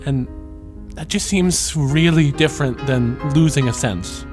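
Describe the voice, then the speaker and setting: A man playing an earnest, tentative young graduate in an audio drama, soft-spoken and halting. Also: mid-range voice, over music, lightly acted. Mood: troubled, reflective.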